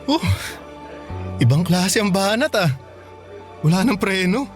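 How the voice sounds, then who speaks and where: An actor playing a kind but frank young man in a Filipino radio drama, gentle and candid with a Filipino accent. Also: sits high, animated, unhurried, soft background music. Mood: teasing, casual.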